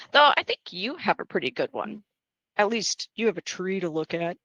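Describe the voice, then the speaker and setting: A woman's voice: fairly high pitch, a bit bright, no room sound, rough recording. A woman acting a talkative, teasing young psychiatric patient in a screenplay table read. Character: chatty, flippant young female psychiatric patient.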